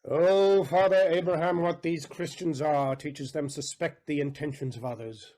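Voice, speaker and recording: An actor playing an aggrieved, wily moneylender in a Shakespearean audio drama, deliberate, rhetorical and sardonic in manner. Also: male voice, fairly high pitch, steady tone, warm tone, lightly acted. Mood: feigned hurt.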